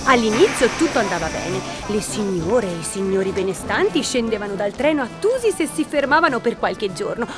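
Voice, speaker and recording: A woman's voice: mid-range voice, very animated, fairly quick, few pauses, over music, lightly acted. An actress playing a chatty, scatterbrained woman guide who improvises theatrically in a hiking audio drama. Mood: enthusiastic storytelling. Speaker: tour guide narrator.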